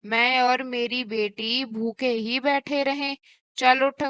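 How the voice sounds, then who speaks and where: A scolding Indian mother-in-law acted by a woman for a cartoon moral story. Her voice is high, bright and very clean, with slow, bossy, sarcastic delivery that lands every reproach. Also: steady tone, clean tone, no echo. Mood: furious.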